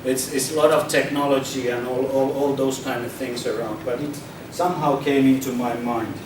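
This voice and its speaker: A man from Finland talks to an audience in a large, heavily echoing hall, heard from across the room. He speaks hesitantly, repeating words and restarting his sentences. A steady noise sits under his voice. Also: mid-range voice, moderate pace. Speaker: speaker at a public debate. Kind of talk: informal public talk. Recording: echoey hall from far off, noisy.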